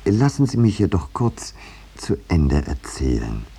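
An actor playing a scheming, smooth-talking man in a 1950s German radio drama, mock-polite and ironic with small hesitations. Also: low voice, very animated, a little slow, heavily acted. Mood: wry, narrating. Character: scheming, smooth-talking man bargaining away his troublesome cousin. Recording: vintage 1950s radio recording.

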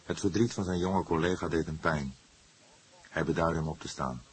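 A man with a very low, strongly husky voice reads crime fiction aloud. His delivery is measured and dramatic, as an audiobook narrator telling a story. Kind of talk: scripted crime novel narration.

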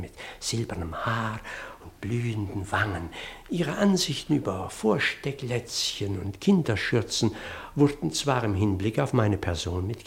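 A man's voice: fairly low pitch, vocal fry. An actor playing an earnest, long-winded parish clergyman in a German radio play, pedantic and hesitant, easily flustered as he digresses. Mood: meticulous, eager.

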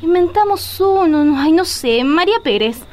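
Actress as a high-voiced, bossy Argentine young woman. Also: animated, normal speed, a little mellow. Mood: scheming. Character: bold, bossy young woman scheming with her friend. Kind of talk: radio drama acting.

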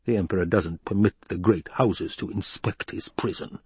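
A man's voice: low voice, normal speed, a little mellow, slightly rough, no echo. A man voicing a fat, scheming baron in an audiobook, pompous, blustering and petulant. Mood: irritated, dismissive. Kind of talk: dramatized audiobook reading.

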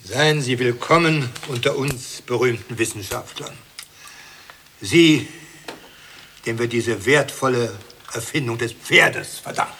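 An actor playing a pompous, excitable academy president in a farcical radio play, given to grand exclamations. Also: male voice, medium pitch, a little slow, long pauses, slight background noise.

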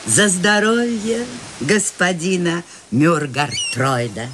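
A woman's voice: on the low side, expressive, slow, a bit bright. An older woman actor playing an eccentric, cheerful, life-loving old lady in a radio play, playful and musing as she savors her words. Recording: clearly noisy radio play recording.

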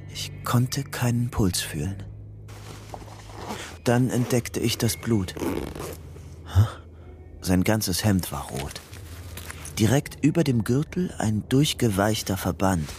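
Low-voiced, terse man on the run. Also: darkish tone. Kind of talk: radio crime drama acting with first-person narration.

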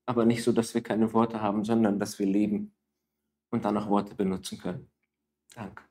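A man's voice: fairly low pitch, completely flat delivery, normal speed, a little mellow. A man hosting a conversation, giving brief affirmations and thanks to his guest. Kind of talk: casual conversation.

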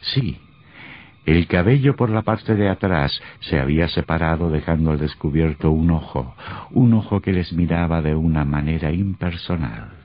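An actor playing a sinister, darkly amused storyteller, narrating an old radio horror play in a theatrical, deliberate way. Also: male voice, a bass voice, dry recording. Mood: ominous. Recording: muffled old radio recording, loud music behind.

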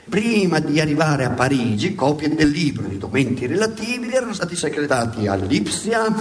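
Male satirical stage narrator, highly animated, fairly high pitch, ironic and emphatic, hissy recording. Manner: emphatic, ironic, repeats words dramatically. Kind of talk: satirical theatrical monologue storytelling. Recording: hissy radio theatre recording.

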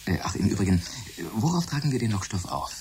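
A fussy, eccentric laboratory scientist in a German radio play. His low voice is polite, absorbed and precise. A faint stirring, sizzling noise sits behind him. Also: sing-song, quick pace, a little mellow. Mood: businesslike. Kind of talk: German radio drama acting.

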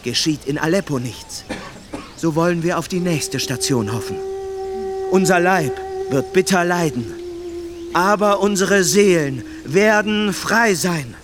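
A devout pastor in a radio drama, meek and pleading. His voice is on the high side and he preaches submission like a sermon. Clear ambient noise surrounds him. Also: very animated, a little slow. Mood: pleading, anguished. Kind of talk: German radio drama acting.

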